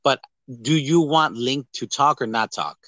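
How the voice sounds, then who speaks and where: A man with a bright, forceful voice, recorded close and dry. He chats casually as a podcast co-host, rambling with animation and swearing freely. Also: medium pitch, a little slow, no room sound. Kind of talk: casual chat about films and games.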